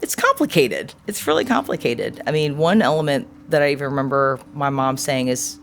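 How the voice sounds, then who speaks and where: A woman in her forties answering an interview question in a thoughtful, earnest way, often pausing to search for words. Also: extremely low pitch, big pitch swings, creaky, slight background noise. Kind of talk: reflective personal interview answer.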